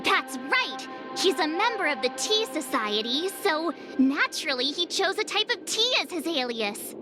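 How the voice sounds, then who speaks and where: A woman's voice: high voice, big pitch swings, moderate pace, music in the background. An actress playing an imaginative, eager girl in a video game, explaining things with theatrical flair. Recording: game audio with clear background noise.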